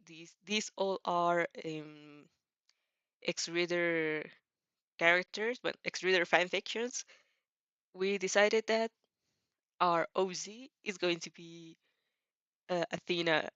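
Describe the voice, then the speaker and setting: A woman who is a non-native English speaker, hosting a casual fan fiction read-aloud with an informal, halting, giggly delivery and self-corrections. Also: fairly low pitch, fairly even intonation, a bit bright, clear, resonant tone, no echo, highs cut off. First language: not English. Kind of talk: casual reaction chat and story reading.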